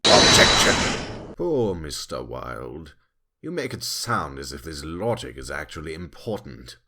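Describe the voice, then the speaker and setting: A man's voice: very deep voice, sharp tone, full character voice. A man playing a haughty, taunting prosecutor with a theatrical, condescending manner in a courtroom audio drama. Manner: theatrical, condescending, drawn-out delivery.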